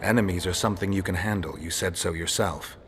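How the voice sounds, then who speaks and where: A male actor playing a calm, cynical, world-weary monster hunter in a video game, talking in a measured, deadpan, wry way. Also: low-pitched, soft background music, close to an everyday voice. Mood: measured persuasion. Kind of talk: dramatic video game acting.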